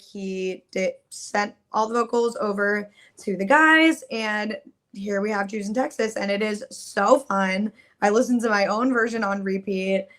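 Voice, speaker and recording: Chatty young woman singer guest. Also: medium pitch. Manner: chatty, upbeat, uses "like" and "um" often. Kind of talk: casual interview chat.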